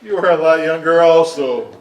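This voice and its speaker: A man's voice: a little high, moderate pace, close to an everyday voice. A man playing a kindly old storyteller, earnest and theatrical, in a staged musical reading. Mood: fond. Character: kindly old storyteller, former royal page. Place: indoor stage at an arts venue. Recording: echoey stage, distant mic, faint noise.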